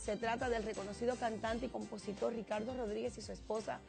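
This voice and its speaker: A woman co-hosting a talk show, giving a formal, measured introduction. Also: on the high side, steady tone, vocal fry. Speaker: co-host. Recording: music under the voice.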